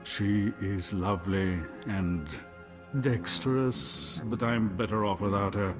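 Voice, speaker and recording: A man playing a smooth, menacing crime boss in a video game, polite and measured with veiled threat. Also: fairly low pitch, fairly even intonation, music in the background. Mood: suave.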